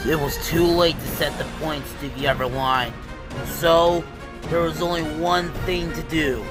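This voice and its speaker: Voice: mid-range voice, fairly even intonation, measured pace, over music. A narrator reading a scripted children's-style story for a comic dub.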